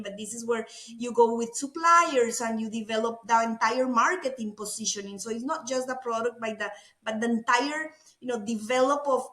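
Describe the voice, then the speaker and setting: A woman's voice: fairly high pitch, pushed and bright, reverberant. A middle-aged woman from Costa Rica with a Costa Rican Spanish accent, talking effusively as an interview guest. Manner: enthusiastic, effusive, repeats words. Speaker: guest, food innovation executive. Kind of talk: conversational interview.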